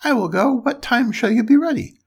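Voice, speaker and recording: Little pig for a fairy tale: high, squeaky, small and plucky, politely eager. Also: male voice, expressive, brisk, husky, full character voice. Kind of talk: storybook character voice.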